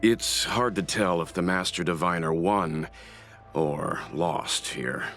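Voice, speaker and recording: A man voicing a stoic, reserved young warrior in an anime game, speaking in a measured, serious manner. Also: low-pitched, a bit bright, soft background music. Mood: grave. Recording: faint ambient noise behind.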